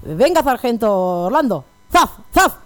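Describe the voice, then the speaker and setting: A woman's voice: a little high, big pitch swings, unhurried, no room sound. A woman playing a chivalrous, boastful masked swordsman in a comic radio melodrama. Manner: pompous, declamatory.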